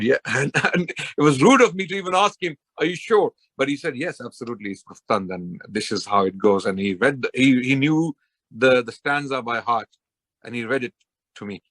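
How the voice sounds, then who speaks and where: A man's voice: medium pitch, animated, fairly quick, a bit bright, no echo. A man giving an earnest spoken tribute to a scholar he admired, repeating phrases for emphasis. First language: not Persian. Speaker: Islamic preacher giving a tribute.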